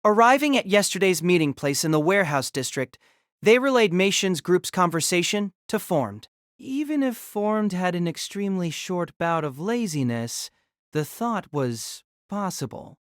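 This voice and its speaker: A woman's voice: on the low side, big pitch swings, normal speed, dry recording, studio quality. A woman reading scripted narration of a light novel as the narrator of an audio drama.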